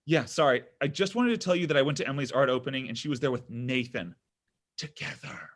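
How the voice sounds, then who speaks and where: A man's voice: mid-range voice, fast talker, lightly acted. A man playing a self-absorbed, needy young man in a comedy table read, melodramatic and whiny. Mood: needy, pleading.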